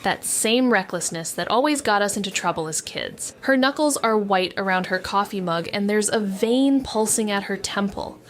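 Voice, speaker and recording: A woman's voice: mid-range voice, moderate pace, few pauses, close to an everyday voice. A young woman playing a cautious, anxious former child detective who narrates a noir audio drama. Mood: tense, brooding. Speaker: narrator and lead actor.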